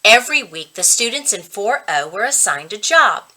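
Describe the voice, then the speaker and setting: A woman reading a children's story aloud as an expressive narrator, with dramatic pauses and stretched emphasis on key words. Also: mid-range voice.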